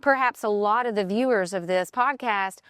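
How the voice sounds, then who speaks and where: A woman's voice: medium pitch, normal speed, a bit bright, clear, resonant tone, no room sound, studio quality. A woman hosting a podcast, asking questions in a casual, conversational interview style.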